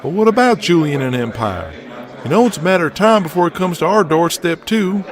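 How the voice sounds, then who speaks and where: A foul-mouthed, fretful wrestling booker, acted by a man in an audio drama. His voice sits fairly high and moves with lively, blunt emphasis. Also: a little fast. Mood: exasperated.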